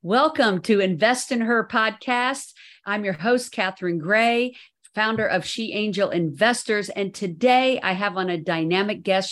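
A woman's voice: medium pitch, fairly bright tone. A woman hosting an interview podcast, chatty and upbeat, telling anecdotes as she goes. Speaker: podcast host. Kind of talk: casual interview chat.

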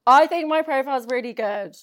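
Giggly young woman with a high voice. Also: a little fast, a bit bright. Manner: giggly, self-mocking. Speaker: podcast guest, host's sister. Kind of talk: casual chat.

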